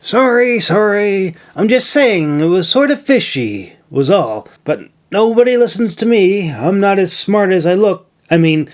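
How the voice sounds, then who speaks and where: A man acts out a cheeky young fantasy trainee for an audiobook. The voice is pitched up high and pushed a bit louder. He is joking, excitable and quick to defend himself. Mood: defensive.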